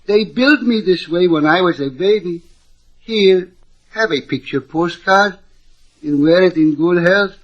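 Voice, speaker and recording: A male actor playing a flowery, eager Havana street hustler with a Spanish accent in an old radio drama, wheedling and flattering. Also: high-pitched, measured pace. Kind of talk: 1950s radio comedy-drama acting. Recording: dull old radio recording.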